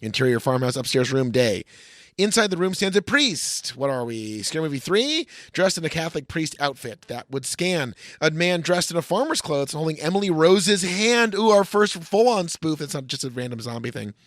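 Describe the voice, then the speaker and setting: A man's voice: a little high, expressive, moderate pace, a bit bright, no room sound, clean studio sound. A man hosting a podcast, casually reading a screenplay aloud with wry, profane asides. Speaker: podcast host.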